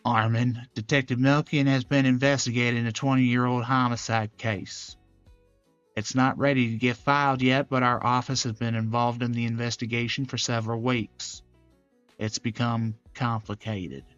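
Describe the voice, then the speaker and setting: A man's voice: medium pitch, level tone, moderate pace, fairly bright tone, clean tone, no echo. Man reading game drama, dry dull recording. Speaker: gaming channel creator voicing every character. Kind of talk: scripted dramatic narration of a visual novel. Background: game music.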